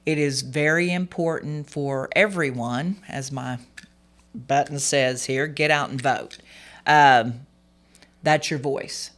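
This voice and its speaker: Hoarse candidate, rambling gently. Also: mid-range voice, fairly even intonation, takes it slowly. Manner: rambling, many fillers and restarts, earnest. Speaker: political candidate. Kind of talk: radio interview guest.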